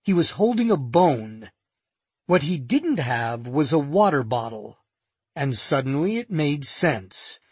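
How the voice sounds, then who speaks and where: A man narrating a first-person nonfiction audiobook in a measured, vivid storytelling manner. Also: a little high, big pitch swings, slightly rough, dry recording.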